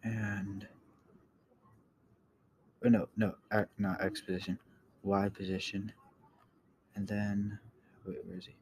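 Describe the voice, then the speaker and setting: A man giving a casual software tutorial, thinking aloud in a halting way and often trailing off. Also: lowish voice, little pitch movement. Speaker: tutorial host. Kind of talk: casual screen-recorded coding tutorial. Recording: clear background noise, keyboard clicks.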